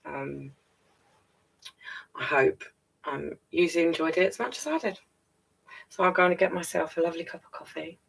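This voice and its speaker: A woman's voice: fairly low pitch, normal speed, creaky, pauses often, breathy edge, far from the mic, rough recording. A British woman hosting a live storytelling stream, chatting casually and affectionately with her viewers and encouraging them. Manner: affectionate, encouraging, calls listeners darling and guys.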